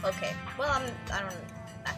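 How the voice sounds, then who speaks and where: A woman hosting a gaming video, narrating a visual novel with casual commentary in an expressive way. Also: medium pitch, brisk. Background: game music under the voice.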